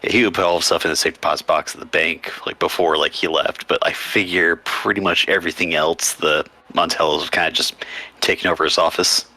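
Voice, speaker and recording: A man playing a tabletop game, speaking in character with many pauses and fillers. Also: low-pitched, steady tone, bright, forceful tone, no echo. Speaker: player.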